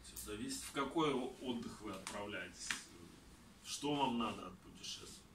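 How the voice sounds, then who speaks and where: A man in his thirties from Kazakhstan, talking about travel and answering questions in an animated, gesturing way in a small indoor room. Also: mid-range voice, normal speed. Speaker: traveler giving a talk. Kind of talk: conversational talk answering audience questions. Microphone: far camera. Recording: roomy, distant, faint background noise.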